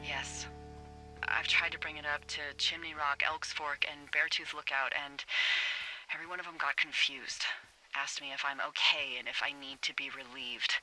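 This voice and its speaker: An actress playing a wry, breezy American fire lookout speaking over a two-way radio in a video game. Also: female voice, low voice, steady tone, moderate pace, sharp tone, soft background music, rough recording. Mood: tense, fed up. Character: wry, chipper, foul-mouthed adult woman fire lookout. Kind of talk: naturalistic video game dialogue.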